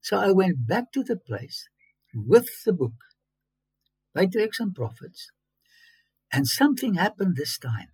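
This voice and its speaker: A fairly high-voiced man, raspy and gravelly, recounting his own story in English with sudden emphatic bursts. His speech halts and restarts as he goes. The sound is dry and close, with no room around it. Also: big pitch swings, a little slow, pauses often, darkish tone, no room sound, low-quality recording. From South Africa. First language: Afrikaans. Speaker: interview guest.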